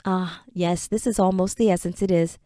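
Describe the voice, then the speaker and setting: A woman voicing a brooding male creature who mutters to himself in a low, hushed voice over his brew. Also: steady tone, normal speed, no room sound, studio quality, natural voice. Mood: muttering.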